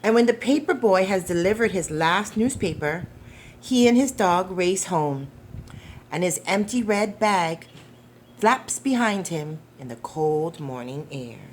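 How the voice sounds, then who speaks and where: A woman reading a picture book aloud to young children in a gentle, deliberate, expressive way, with questions put to the listeners. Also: fairly low pitch, a little hiss or hum. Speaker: storytime reader for preschoolers.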